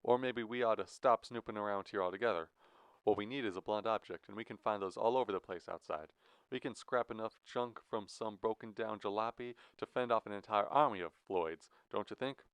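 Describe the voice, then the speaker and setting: A man voicing a nervous, excitable, folksy old-time American hobo in an audiobook. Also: lowish voice, brisk, smooth, no room sound, voice pushed up, close to an everyday voice.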